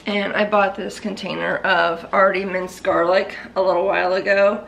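Woman, 30s, home cooking vlogger, mid-pitched, gravelly, laid-back chatter, light background noise. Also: normal speed, muffled recording. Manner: relaxed, self-deprecating, chatty. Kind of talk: casual voice-over chat while cooking. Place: home kitchen.

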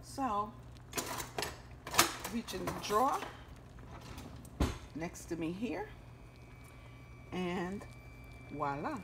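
A woman's voice: medium pitch, sing-song, very slow, long pauses, noisy background. A woman hosting a home cooking video, chatting casually and folksily to her viewers as she cooks at the stove. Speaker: home cooking channel host. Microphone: handheld phone camera.

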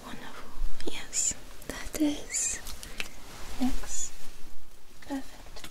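A young woman doing a soft-spoken, whispered ASMR doctor roleplay in short, gentle, reassuring phrases, close to the microphone. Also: very slow, rough recording. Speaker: ASMR performer playing a doctor. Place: small dim indoor room.

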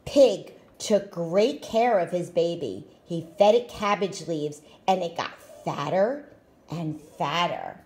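A woman reading a children's picture book aloud in an expressive, deliberate way. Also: lowish voice, a little hiss or hum. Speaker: storytime reader, school staff.

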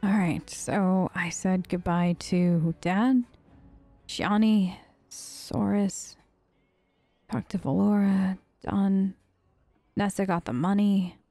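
A woman's voice: medium pitch, steady tone, slow pace, dark tone, no room sound, soft background music. Female let's player role-playing a game character: informal, mid-range, close dry voice-over. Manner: informal, conversational. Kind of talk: casual in-character role-play narration.

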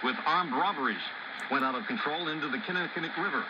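A man's voice: a little high, quick pace, crowd noise. A man reading a scripted news bulletin on the radio. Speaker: radio newsreader. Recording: dull, noisy AM radio through speaker.

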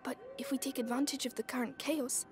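A woman's voice: high-pitched, steady tone, a little fast, lightly acted. A woman playing a caring, determined teenage elder sister in a video game, earnest and urgent. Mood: anxious, determined. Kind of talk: dramatic video game acting. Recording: music behind the voice.

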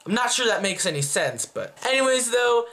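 A man's voice: extremely high pitch, very animated, moderate pace, bright, forceful tone. A young man hosting a casual, chatty movie review, talking expressively to camera in a small room. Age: young adult. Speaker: video host, movie reviewer. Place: small plain room indoors.

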